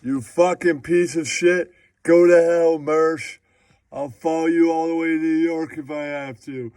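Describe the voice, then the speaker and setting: Man acting an angry, foul-mouthed heckler, fairly high. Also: steady tone, measured pace, darkish tone, no echo, studio quality, lightly acted. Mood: furious, abusive. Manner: profane, repetitive berating. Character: angry heckler hounding a comedian with insults.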